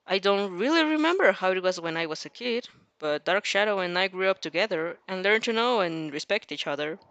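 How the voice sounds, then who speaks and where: A woman voicing a serious, reserved teenage boy, measured and quiet, in a story reading. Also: medium pitch, animated, dry recording, highs cut off. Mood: thoughtful.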